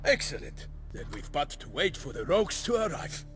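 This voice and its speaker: An actor playing a courteous, eager knight errant in a fantasy game, with hearty, formal, archaic speech. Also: male voice, on the high side, sing-song, normal speed. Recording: loud game music behind.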